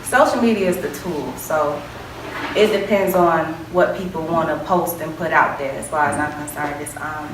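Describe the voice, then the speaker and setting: A young woman with a very low voice speaks with confident conviction on a panel. She sounds distant, set in a roomy, echoing hall with noticeable background hiss. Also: normal speed. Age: young adult. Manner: confident, opinionated, repeats "as far as I'm concerned". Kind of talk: panel answer. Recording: distant echoey hall recording with background noise.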